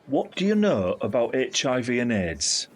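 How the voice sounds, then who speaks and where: An actor playing a candid, caring British uncle in his fifties in an audio drama, with a husky voice. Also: mid-range voice, very animated, normal speed. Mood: frank. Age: 50s. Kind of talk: audio drama acting, conversational monologue.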